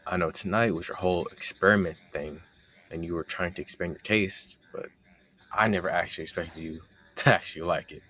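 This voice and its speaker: A man's voice: deep voice, fairly even intonation, fairly quick, bright, forceful tone. A young man playing a playful, teasing roommate with a hidden crush, chatting casually and sarcastically to the listener in an intimate roleplay audio. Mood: curious, fond. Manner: teasing, conversational, sarcastic banter.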